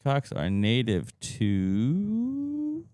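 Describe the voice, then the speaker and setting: A man's voice: mid-range voice, huge pitch swings, very slow, mellow, dry recording, clean studio sound. A man co-hosting a podcast, talking in casual comedic banter with slangy, sweary phrasing. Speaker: podcast co-host.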